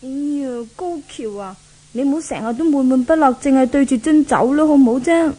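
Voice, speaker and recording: A woman's voice: high voice, a little fast, mellow, lightly acted. A woman acting a spoiled, scheming rich young bride-to-be in a 1970s Hong Kong Cantonese radio drama, coaxing and possessive in manner. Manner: coaxing, wheedling.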